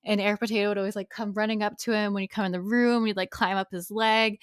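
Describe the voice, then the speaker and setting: A woman's voice: mid-range voice, level tone, fast, clear, resonant tone, no room sound, studio quality. A woman co-hosting a true crime podcast, chatty and rambling, a little sniffly from allergies. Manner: chatty, rambling, self-deprecating. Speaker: podcast co-host. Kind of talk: casual chat.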